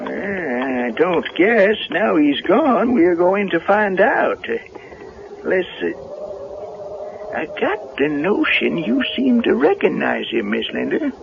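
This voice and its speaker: An actor playing a folksy, nervous manservant with halting rustic speech in a 1970s radio drama. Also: male voice, high voice, sing-song, creaky, heavily acted. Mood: startled. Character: folksy, nervous male servant and carriage driver. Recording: muffled, noisy vintage radio recording.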